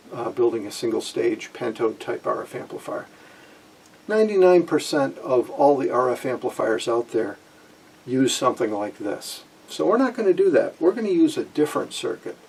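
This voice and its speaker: A man in his sixties presenting an informal technical explanation in a halting way, with frequent pauses, in a small room at home. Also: mid-range voice, vocal fry, a little mellow, slightly rough, recorded from a distance, low-quality recording. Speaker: presenter of a vintage radio electronics channel.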